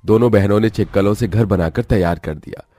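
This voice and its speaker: A man's voice: lowish voice, brisk, warm tone, dry recording, soft background music. A man narrating a scripted moral story as a storyteller.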